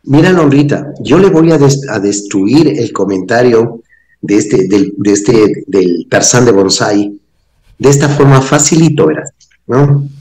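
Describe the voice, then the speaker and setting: Middle-aged man, sarcastic and crude, animated pitch, roomy webcam sound. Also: measured pace. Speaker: political analyst guest. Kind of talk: mocking political commentary. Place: home office, indoors. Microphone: webcam on a video call. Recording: echoey room on a webcam.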